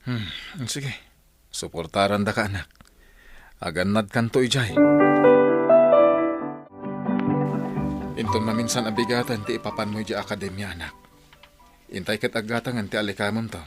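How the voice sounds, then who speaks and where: A man's voice: on the low side, brisk, lightly acted. An actor playing a hardworking, devoted village father in an Ilocano-accented radio drama. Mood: concerned, supportive. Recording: music behind the voice.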